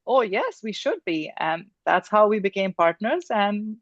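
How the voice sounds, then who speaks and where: A woman's voice: mid-range voice, very animated, unhurried, no room sound. Older woman from Pakistan, video call guest, dry dull sound, chatty with fillers. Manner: warm, laughs often, many fillers. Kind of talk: casual interview. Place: home room.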